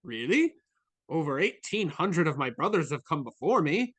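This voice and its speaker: A man's voice: fairly high pitch, very animated, normal speed, fairly bright tone, breathy edge, no room sound, full character voice. A man playing a jolly, kindly Christmas spirit in a live dramatic reading, hearty and festive. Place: remote video call at home. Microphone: video call microphone.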